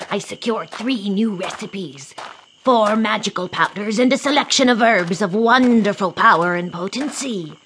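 A woman's voice: mid-range voice, measured pace, fairly bright tone, a little hiss or hum, close to an everyday voice, rough recording. A woman playing a wicked, scheming old witch in a radio drama, cackling and theatrical. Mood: gloating, scheming. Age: older. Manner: theatrical, gleeful, scolding. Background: music.

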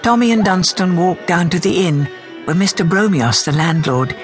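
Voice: medium pitch, animated, normal speed, close to an everyday voice. An actor reading as the omniscient storyteller of a fairy tale in a scripted radio drama. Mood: measured storytelling. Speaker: narrator. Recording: music under the voice. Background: music.

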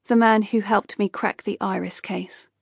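An earnest, dutiful investigator, voiced by a woman, explaining things in a measured way. His voice carries a gravelly texture in a dry, close recording. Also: medium pitch, fairly even intonation, dry recording.

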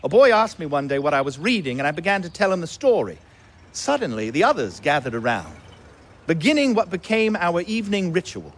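A man's voice: on the high side, wildly swooping pitch, a bit bright, exaggerated voice. An actor playing a grown man fondly recalling his boyhood, narrating in a wry, literate way. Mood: wry, nostalgic. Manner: measured, wry, literate storytelling. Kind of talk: first-person scripted narration in audio drama.

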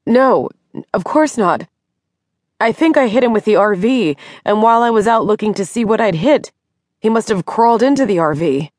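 A woman's voice: on the low side, animated, moderate pace, dry recording, studio quality, voice pushed up. A woman voicing a flustered, anxious and indignant woman of sixty-two in an audiobook. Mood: indignant, amazed. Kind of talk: audiobook character dialogue.

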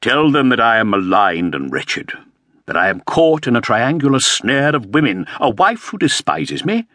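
A man's voice: fairly low pitch, big pitch swings, moderate pace, a bit bright, dry recording, clean studio sound. A man voicing a put-upon, aging Elizabethan earl who speaks in a plaintive, complaining way. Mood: aggrieved.

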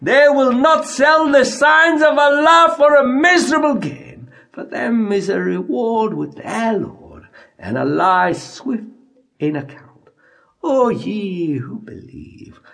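Slow, high-pitched man reciting scripture. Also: creaky, bright, forceful tone. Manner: measured, declamatory phrasing with frequent pauses. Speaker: audiobook narrator.

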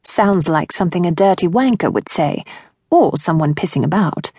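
A sweet young English woman voiced by a female narrator from an audiobook, husky with a touch of fry. She is playful and no-nonsense, teasing her friend in blunt slang, and the sound is close and dry. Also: fairly low pitch, lively intonation, moderate pace, dark tone, dry recording. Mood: frank, confiding.

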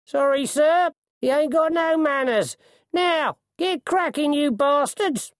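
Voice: high-pitched, slow, dry recording, clean studio sound, pitched above the performer's own voice. A narrator voicing a bluff, bossy male coachman in an audiobook, bellowing heartily. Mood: bossy, knowing. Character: bluff, commanding coachman, a man, loud and hearty.

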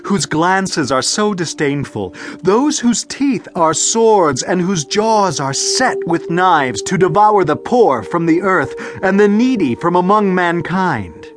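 A slow, theatrical ancient sage over music. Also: male voice, high-pitched, lively intonation. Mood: stern, emphatic. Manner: theatrical, deliberate, emphatic. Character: weary, solemn ancient sage reciting proverbs. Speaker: Bible narrator and reader. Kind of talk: dramatized scripture reading.

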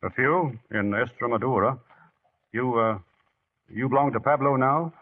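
An actor playing a laconic, steady American volunteer with an understated, hesitant delivery in old radio drama style. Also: male voice, medium pitch, moderate pace, close to an everyday voice. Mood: casual, reticent. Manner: understated, hesitant, plain-spoken. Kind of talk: 1940s radio acting. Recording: muffled, narrowband vintage radio recording.

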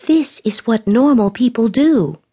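A woman's voice: fairly high pitch, big pitch swings, a little slow, warm tone, pitched above the performer's own voice. Woman voicing a defiant young woman. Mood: furious. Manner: heated, defensive.

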